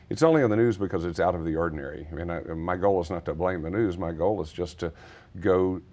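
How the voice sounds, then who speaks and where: A man's voice: low-pitched, brisk, darkish tone, dull audio. A middle-aged American man from Texas speaking as a guest in an interview, earnest and discursive as he explains things conversationally. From Texas, United States. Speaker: interview guest, nonprofit founder.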